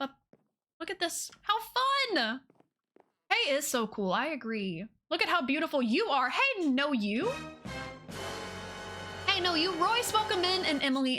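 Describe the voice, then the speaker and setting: A woman's voice: high-pitched, bright, forceful tone. A woman hosting a game livestream in casual chat, gushing enthusiastically, with informal y'alls and repeated phrases.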